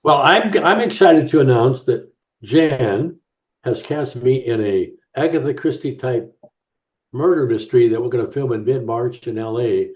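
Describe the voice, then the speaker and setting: A man with an average-pitched voice chats casually as a guest actor. His sound is dull and roomy, with noticeable echo. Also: lively intonation, moderate pace. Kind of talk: casual chat.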